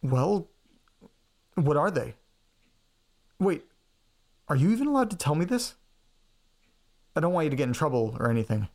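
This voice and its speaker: A man's voice: a little high, very animated, moderate pace, pauses often, darkish tone. An actor as Nate, a hesitant, anxious young man. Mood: anxious curiosity. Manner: hesitant, stammering, earnest. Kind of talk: audio drama acting, naturalistic dialogue.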